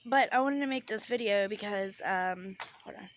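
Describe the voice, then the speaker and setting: A woman's voice: medium pitch, normal speed. Woman vlogger, young, stuffy and congested, rambling chat outdoors, light noise behind. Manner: chatty, rambling with many ums. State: congested, sick. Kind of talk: casual vlog chat. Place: outdoors on a porch by a grill.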